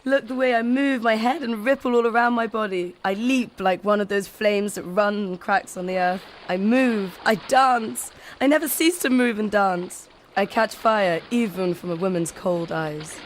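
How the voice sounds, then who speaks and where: A woman's voice: medium pitch, normal speed, hardly any pauses, a little hiss or hum, close to an everyday voice. A woman playing a restless, vain schoolgirl who loves to dance, with theatrical, eager, impatient delivery in a literary audio drama. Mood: exultant.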